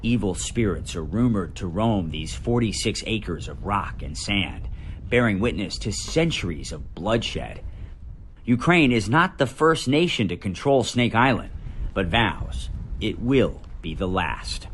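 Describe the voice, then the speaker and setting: Man, American accent, low pitch, scripted news narration, deliberate pauses, dramatic, light background noise. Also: sing-song, fairly bright tone. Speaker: TV news correspondent.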